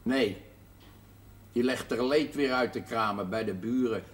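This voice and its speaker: A man playing a gruff, drunken socialist father, coarse, scornful and blustering, in a television drama. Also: medium pitch, unhurried, close to an everyday voice. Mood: sour, grumbling. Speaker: actor in a television drama series. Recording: noisy old television soundtrack.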